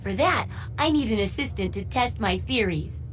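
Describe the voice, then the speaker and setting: An actress playing a cheery, eccentric wasteland shopkeeper and tinkerer in a video game, chatty and earnest. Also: female voice, on the high side, lively intonation, normal speed, soft background music, lightly acted. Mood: eager, persuasive. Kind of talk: video game character dialogue.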